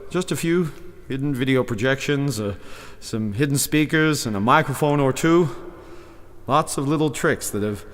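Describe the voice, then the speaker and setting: A man's voice: medium pitch, normal speed, natural voice. An older man playing a timid humbug wizard and former circus balloonist, stammering and pleading in a fantasy audio drama. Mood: sheepish reminiscing. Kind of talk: full-cast fantasy audio drama acting. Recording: long reverb, music behind.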